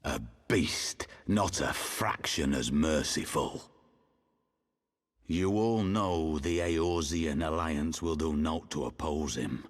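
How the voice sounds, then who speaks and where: A man's voice: very deep voice, slow pace, exaggerated voice. An actor playing an impassioned rebel leader rallying his exiled countrymen in a game cutscene, with emphatic, declamatory oratory. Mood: grim, defiant. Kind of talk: theatrical video game oratory.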